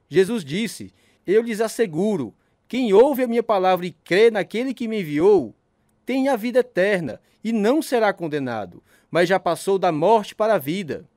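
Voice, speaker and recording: A male actor plays a fervent evangelist pastor in an audio drama. His voice is high for a man and very animated, pressing an urgent appeal in a dry, close recording. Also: no echo. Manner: fervent, exhortative.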